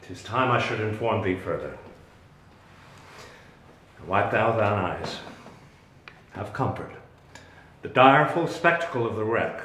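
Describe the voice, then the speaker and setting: An actor playing a protective, commanding exiled magician duke and father, in solemn, deliberate Shakespearean verse. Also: fairly low pitch, fairly bright tone, slight background noise. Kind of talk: Shakespearean dramatic reading. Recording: roomy, echoing sound.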